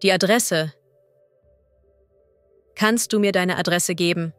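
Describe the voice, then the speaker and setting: A woman as a language teacher reading idioms and vocabulary slowly and clearly. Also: fairly low pitch, no echo, soft background music. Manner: slow, clear and deliberate.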